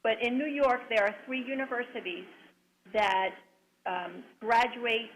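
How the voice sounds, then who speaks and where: A woman whose first language is Spanish, a clinical psychologist answering a panel question over a remote link in a measured, deliberate way. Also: on the high side, fairly even intonation, low-quality recording.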